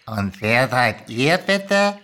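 A woman's voice: a bass voice, very animated, very slow, a bit bright, voice pushed down, exaggerated voice. A woman voicing a cocky, laid-back little male goblin in a story reading, with a casual, drawling, colloquial manner. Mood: lazily amused.

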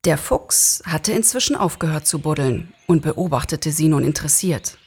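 A woman's voice: deep voice, expressive, moderate pace, heavy background noise. A woman reads a scripted story as narrator in a live performance. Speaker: author and narrator, actress. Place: small theatre, live recording.

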